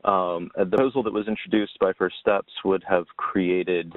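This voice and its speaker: A man presenting a webinar slide talk, explaining informally in a halting, hesitant way. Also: sits low, fairly even intonation. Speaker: webinar presenter on tax credit policy. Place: remote webinar call. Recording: dull lo-fi call audio, very dry.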